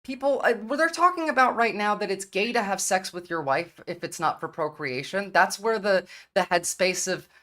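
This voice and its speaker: A woman's voice: mid-range voice, animated, normal speed, hardly any pauses, a bit bright. A woman giving an earnest, argumentative opening statement in an online debate, citing statistics with some hesitations. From United States.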